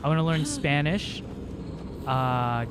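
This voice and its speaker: A man's voice: a little high, animated, takes it slowly, noisy background. A male game streamer, a native Cebuano speaker, chatting casually and rambling with lots of fillers. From Philippines. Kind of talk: casual livestream chat.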